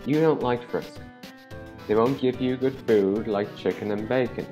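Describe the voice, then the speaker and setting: A man playing a plain-spoken, sympathetic but blunt mediator, reasoning in a measured way in a fan comic dub. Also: medium pitch, dull audio, music in the background, close to an everyday voice. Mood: sympathetic, frank.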